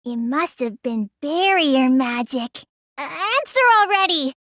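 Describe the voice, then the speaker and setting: A woman's voice: sits high, animated, slow, a bit bright, dry recording, clean studio sound, exaggerated voice. A woman voicing a petite, stubborn teenage girl magician in an English anime game, with a languid, childlike, slightly pouty delivery. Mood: resolute.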